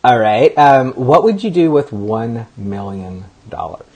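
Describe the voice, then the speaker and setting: A man hosts a casual interview, his husky voice swinging up and down with upbeat energy. He sounds friendly and animated as he asks light questions. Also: medium pitch, unhurried. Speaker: host.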